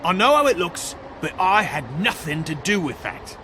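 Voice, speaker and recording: An actor playing a cagey, wisecracking hired investigator in a noir video game, with a slangy, streetwise manner. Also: male voice, sits high, very animated, normal speed, noisy background. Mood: defensive, agitated.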